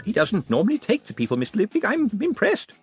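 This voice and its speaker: A man voicing a feisty, blunt old woman who is gleeful and mildly cruel, with a high, bossy delivery. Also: wildly swooping pitch, quick pace, slightly rough, faint music behind, full character voice. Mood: cheerful.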